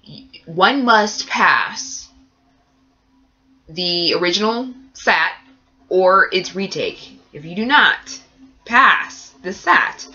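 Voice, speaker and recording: A woman with a cold talks in a chatty, rambling way, her voice nasal and stuffed up. Her tone is low-pitched yet bright and forceful. The recording sounds dull and lo-fi. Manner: chatty, rambling with many fillers. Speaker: nursing student vlogger. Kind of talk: casual vlog update.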